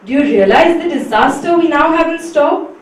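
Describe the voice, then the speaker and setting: A woman's voice: sits high, animated, moderate pace. A woman playing a pompous male council herald in an amateur stage play, declaiming grandly. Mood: solemn. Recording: very echoey hall, far from mic.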